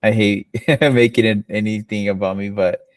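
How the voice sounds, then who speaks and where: A man's voice: on the low side, fairly even intonation, normal speed, no echo. A man hosting a podcast, talking in a laid-back, jokey way with lots of "like" and "you know.". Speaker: podcast host. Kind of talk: casual interview chat.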